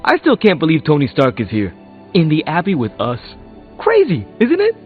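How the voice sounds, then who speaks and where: An actor with an American accent playing an earnest, self-conscious young hero in a video game, casual and hesitant. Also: male voice, fairly high pitch, huge pitch swings, normal speed, phone-quality sound. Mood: starstruck. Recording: thin band-limited audio, faint music.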